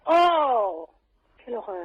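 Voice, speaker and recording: A woman's voice: a little high, big pitch swings, slow. A woman in a casual phone conversation, exclamatory and indignant in manner, often repeating herself. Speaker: animal shelter manager answering a phone call. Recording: dull, noisy phone-call recording.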